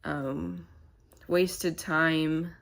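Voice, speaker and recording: A woman sits at home chatting straight into her camera mic, her voice low and a little stuffy and nasal. She rambles, pausing and hunting for words as she goes. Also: fairly even intonation, gravelly. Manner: rambling and halting, many fillers. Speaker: channel host. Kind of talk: casual to-camera vlog chat.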